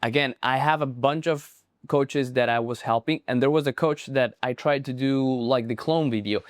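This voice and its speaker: A man's voice: mid-range voice, normal speed, no room sound, studio quality. A young man with a Spanish accent, a native Spanish speaker, explaining a marketing approach to camera in an earnest, casual way and often checking in with "right?". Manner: earnest, explanatory, with frequent restarts and "right?" asides. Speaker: marketing coach.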